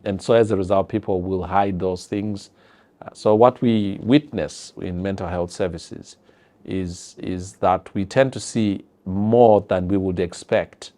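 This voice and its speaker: Man, Zimbabwean accent, very low pitch, dark relaxed tone, earnest explainer. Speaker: public health professional. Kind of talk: explanatory interview talk.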